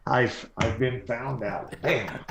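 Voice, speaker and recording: A man's voice: mid-range voice, gravelly, a bit bright, a little hiss or hum. A man with an American accent, a guest on a casual livestream, laid-back and playful with some mild swearing.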